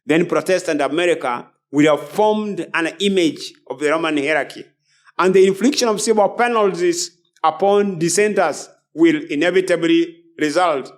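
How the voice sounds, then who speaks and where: High-pitched male preacher, emphatic delivery. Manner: emphatic, repeats phrases. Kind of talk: sermon with scripture and book readings.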